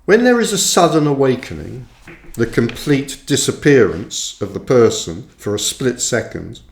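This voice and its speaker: A man reading his own book aloud as scripted narration, in measured, deliberate phrases with frequent pauses, in a roomy recording. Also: mid-range voice, big pitch swings, gravelly. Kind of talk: audiobook-style scripted narration. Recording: roomy, echoey sound.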